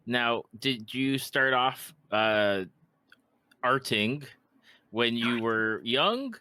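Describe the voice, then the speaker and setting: A man's voice: medium pitch, animated, very slow, pushed and bright, clean tone, dry recording. A man hosting a podcast, asking friendly questions with stumbling restarts. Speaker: podcast host. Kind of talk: casual interview.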